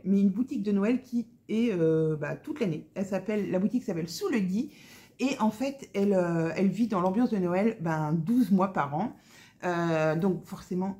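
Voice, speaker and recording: A woman with a soft-edged, mellow voice at a medium pitch talks casually, as in an online book review. She is enthusiastic and stresses her points, yet often hesitates and searches for the next word. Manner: enthusiastic, emphatic. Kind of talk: casual vlog-style audiobook review.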